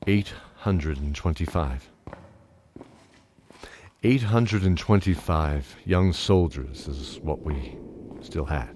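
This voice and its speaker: A grave military man, played by an actor narrates a fantasy war drama. His voice is deep and his pace slow and deliberate, with faint background noise. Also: a little mellow. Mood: grave, weary. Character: grave male military narrator counting surviving soldiers.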